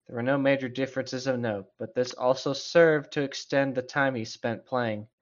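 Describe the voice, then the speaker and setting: A man with a mid-pitched voice reads and comments casually, with a sarcastic, mocking edge. The sound is a little dull. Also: fairly even intonation, moderate pace. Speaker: co-host reading a story aloud with commentary. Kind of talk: casual reading with dry commentary.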